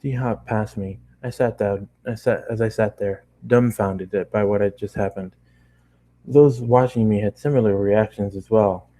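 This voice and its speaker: Low, mellow-voiced man reading a story. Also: steady tone, normal speed. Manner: stumbling, often rereading phrases. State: tired, running out of steam. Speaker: amateur fan-fiction reader. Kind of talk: story narration read aloud.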